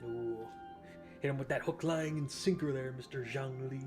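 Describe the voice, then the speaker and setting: A male gaming streamer talks through his playthrough in a mid-pitched voice. He rambles casually and pokes fun at himself, with game music clearly audible behind him. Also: moderate pace. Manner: self-deprecating, rambling.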